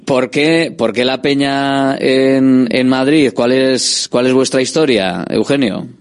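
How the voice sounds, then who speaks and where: Man, radio sports host, friendly, chatty, medium pitch. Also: slow pace. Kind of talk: radio interview.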